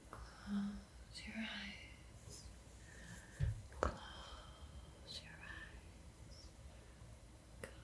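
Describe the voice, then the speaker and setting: A woman playing a soothing but secretly hungry vampire art teacher in a breathy, coaxing whisper for an ASMR roleplay. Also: mid-range voice. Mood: coaxing, sly. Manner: slow, hushed, coaxing, hesitant pauses.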